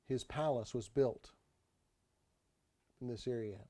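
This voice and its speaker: A man giving an unscripted tour voice-over, explaining sights in a halting way and often repeating his phrases. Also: medium pitch, warm tone. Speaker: tour narrator. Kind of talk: unscripted travel video voice-over.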